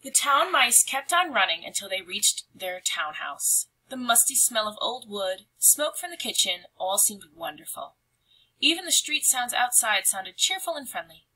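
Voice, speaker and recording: Woman reading kids picture book out loud, dramatic, really bright but husky voice. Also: fairly high pitch, very animated, normal speed, rough recording. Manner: dramatic, with hushed and emphatic phrasing. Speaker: read-aloud storyteller. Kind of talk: expressive picture book read-aloud.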